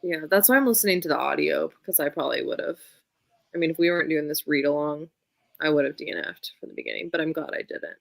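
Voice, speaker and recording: A woman with a slightly low voice talks books in a casual, expressive way. She is informal and emphatic, and the sound is dry, with very little room to it. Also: quick pace, vocal fry, dry recording. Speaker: book show co-host. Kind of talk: casual book chat.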